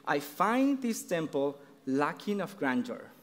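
A haughty older baby boomer, put on by a man with a Mexican accent, sniffs at everything in a formal, lifted voice. The words ring out with a long echo, as in a big hall. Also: sing-song, takes it slowly. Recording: long reverb in a large hall.

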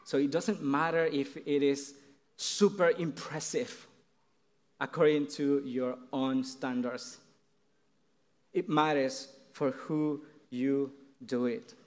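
A man with a Mexican accent preaching a sermon in an earnest, halting way, often restarting his phrases. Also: medium pitch, fairly even intonation. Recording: long reverb in a large hall.

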